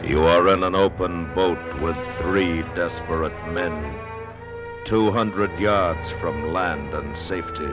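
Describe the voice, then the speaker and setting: A man narrating an old radio drama in a measured, grave, literary way. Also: low-pitched, lo-fi sound. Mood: ominous. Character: grave storyteller narrating a sea disaster tale. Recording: old radio broadcast with music behind.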